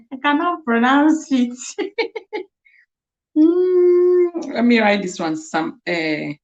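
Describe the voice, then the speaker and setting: A woman's voice: a little high, sing-song, drawn-out words, a little mellow, dull audio. A young woman from Nigeria with a Nigerian accent and Igbo as her first language, hosting a casual livestream chat, laughing often and repeating words as she sounds them out. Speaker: livestream host.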